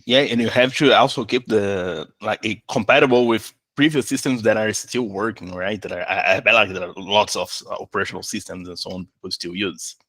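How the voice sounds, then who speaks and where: Brazilian-accented man hosting, low voice. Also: brisk, hardly any pauses, fairly bright tone, no echo. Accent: Brazilian Portuguese. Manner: friendly, hesitant with many fillers. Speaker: interviewer host. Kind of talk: casual interview.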